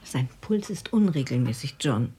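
An actress in a German radio drama playing a worried, watchful doctor's helper with a husky, breathy voice. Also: female voice, a bass voice, expressive, normal speed, mellow, slight background noise. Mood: alarmed.

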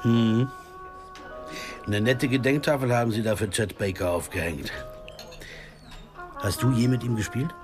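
A man's voice: medium pitch, a little slow, long pauses, over music, lightly acted. An actor playing a male jazz pianist who narrates his own detective story in a radio drama, in a laconic, observant way. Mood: probing. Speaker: narrator and lead character. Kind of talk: first-person crime radio drama narration and dialogue.

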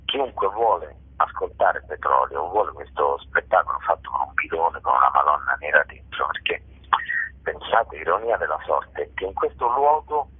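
A man's voice: a bass voice, very animated, moderate pace, breathy edge, no echo. A man from Basilicata with a southern Italian accent, speaking emphatically as a theatre storyteller and repeating phrases for effect. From Basilicata, southern Italy. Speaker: theatre author, director and actor. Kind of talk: interview, passionate storytelling about own stage work. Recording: narrow tinny phone or radio line.

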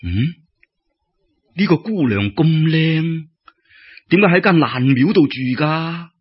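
A man's voice: on the high side, expressive, slow pace, slightly rough, exaggerated voice. A man voicing a frivolous, smitten young scholar in Cantonese, eager and full of flowery politeness, in a storybook tale. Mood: smitten, musing. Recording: dull lo-fi radio-style recording.

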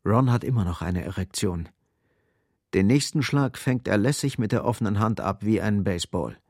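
A man's voice: lowish voice, moderate pace, darkish tone, no echo, clean studio sound. A man giving a grim, intense scripted narration of a crime story in a German radio drama. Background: music.

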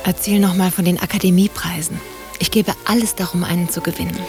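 An actress playing a dreamy, pampered young beauty, theatrical and starstruck, in a German radio drama. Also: female voice, lowish voice, little pitch movement, fast, natural voice. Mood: yearning. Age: young adult. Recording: music playing behind the voice.

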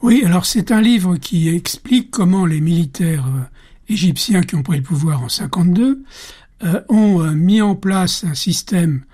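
Mellow husky man explaining patiently. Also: high-pitched, lively intonation, measured pace. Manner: explanatory, hesitant with fillers. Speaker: guest expert. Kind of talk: radio interview answers.

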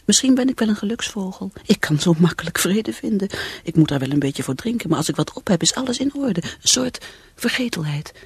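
Breathy, troubled alcoholic woman. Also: expressive, a little fast, a little mellow, dry recording. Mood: confiding, restless. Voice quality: breathy, often whispering. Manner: confiding, wavering, highly emotional. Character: troubled alcoholic woman, self-doubting, desperate, craving peace. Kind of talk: radio play acting, intimate monologue.

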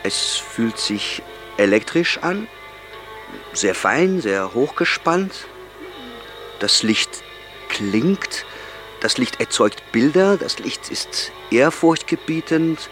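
An actor playing an earnest, solemn young man speaking deliberately in an audio drama. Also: medium pitch, sing-song, over music. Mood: earnest, searching.

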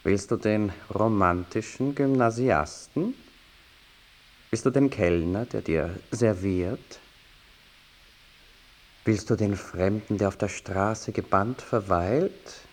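An actor playing a lovesick, melancholy gentleman poet of old Vienna, deliberate and pleading in a literary monologue. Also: on the low side. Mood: anxious, tender. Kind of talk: literary audio miniature, dramatic monologue.

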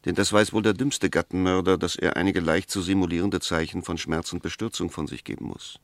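An actor in a 1960s German radio play as an eloquent, argumentative defence advocate, speaking with precise, emphatic, scornful rhetoric. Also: male voice, deep voice, fairly even intonation, brisk, vocal fry, no room sound. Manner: rhetorical, emphatic, pointed questions, precise diction.

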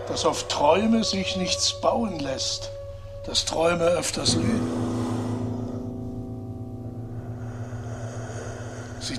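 A male actor voicing the proud, insistent inner voice of a former actor in a radio drama, speaking in a deliberate, declamatory way. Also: on the high side. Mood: theatrical, reciting. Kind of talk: theatrical radio drama monologue.

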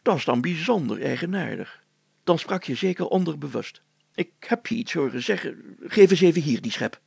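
A man's voice: on the high side, sing-song, moderate pace, creaky, a little mellow, slightly rough, no echo, pitched above the performer's own voice, exaggerated voice. A man voicing a bossy, sly village mayor who talks pompously with hems and repeats while feigning kindness in a storybook. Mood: suspicious, commanding.

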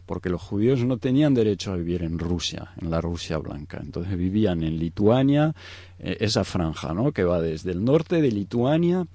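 A man's voice: deep voice, big pitch swings, moderate pace, warm tone, no echo. A middle-aged man from Egypt explaining things in an unscripted interview, hesitant, searching for words and often adding tag questions. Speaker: interview guest.

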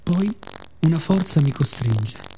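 A man performing a poetic theatrical radio monologue in Italian, with deliberate, dramatic phrasing, pauses and repetitions. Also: a little high, lively intonation, dark tone, slight background noise. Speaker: actor performing own monologue.

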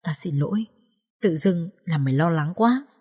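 A woman as a weary, subdued young mother, low-pitched. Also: normal speed, darkish tone, natural voice. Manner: subdued, resigned. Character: weary, heartbroken young mother holding back tears. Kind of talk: dramatised audio story dialogue.

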